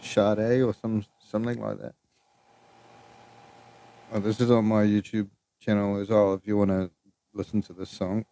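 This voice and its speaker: A man's voice: on the low side, flat delivery, moderate pace, pauses often, warm tone. A man hosting his own podcast alone, rambling loosely between clips, swearing and trailing off. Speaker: podcast host. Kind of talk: casual solo podcast chat.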